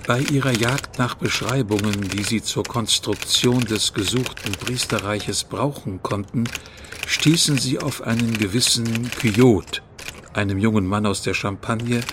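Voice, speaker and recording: A man's voice: lowish voice, a little slow, heavy background noise, natural voice. A man delivering scripted narration as narrator in a radio drama.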